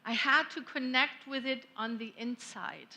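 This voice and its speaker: Lady minister, slow gentle sermon, kinda high voice. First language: German. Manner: gentle, reflective, unhurried with long pauses. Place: church sanctuary.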